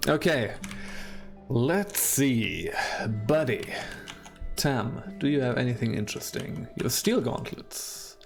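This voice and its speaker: Male let's-play host with a German accent, mid-pitched, casual and rambling, self-deprecating. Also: animated, slow, gravelly, faint music behind. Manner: rambling, chatty, self-deprecating, with frequent laughs and restarts. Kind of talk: casual gameplay commentary.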